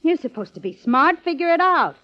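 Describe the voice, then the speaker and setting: An actress playing a sassy, hard-boiled young gangster's moll in a 1940s radio crime drama, slangy and sneering. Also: female voice, sits high, sing-song, fairly quick. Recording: muffled old radio recording.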